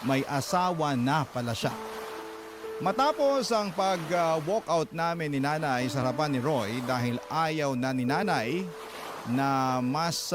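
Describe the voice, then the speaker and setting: Higher-voiced Filipino male storyteller. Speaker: radio drama narrator reading a listener's letter. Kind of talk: scripted first-person storytelling. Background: music bed.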